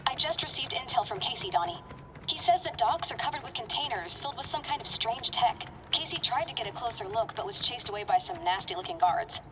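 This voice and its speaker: A woman playing an earnest young ally in a video game, giving brisk, informative reports. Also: high voice, animated, big-room sound, recorded from a distance, background noise. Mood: concerned.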